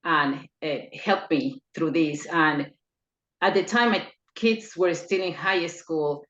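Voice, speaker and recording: Low-voiced middle-aged woman from Ecuador. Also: slow pace, a bit bright. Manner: hesitant, with many fillers and restarts. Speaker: podcast guest. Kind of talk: personal interview. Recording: echoey, dull lo-fi remote line.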